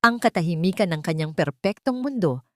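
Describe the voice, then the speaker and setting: A woman's voice: lowish voice, lively intonation, fairly quick, darkish tone, no room sound, studio quality. A woman narrating a scripted dramatic story as a storyteller.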